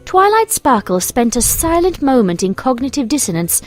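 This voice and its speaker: A woman's voice: sits high, sing-song, normal speed. A woman narrator reading a scripted story in storybook narration style. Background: soft music.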